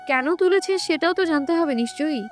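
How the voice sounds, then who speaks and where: Audio story heroine played by a woman: young, protective and insistent, high voice. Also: animated, a little fast, faint music behind. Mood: firm.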